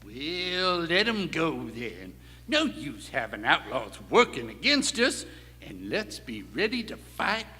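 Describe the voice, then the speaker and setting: Man acting a defiant high-pitched old-West ranch boss. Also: wildly swooping pitch, sharp tone, slightly rough, full character voice. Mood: grim, commanding. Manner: folksy Western cowboy talk, drawn-out emphatic delivery. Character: tough suspicious male ranch boss, defiant and accusing. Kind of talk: live Old West radio play acting. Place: theater stage before a live performance hall. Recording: echoey concert hall, faint noise.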